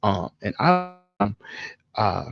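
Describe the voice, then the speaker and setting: A man co-hosting a podcast, telling stories in an animated, slangy, casual way with plenty of swearing. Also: fairly low pitch, takes it slowly, gravelly, highs cut off, faint music behind. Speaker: podcast co-host. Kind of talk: casual chat and storytelling.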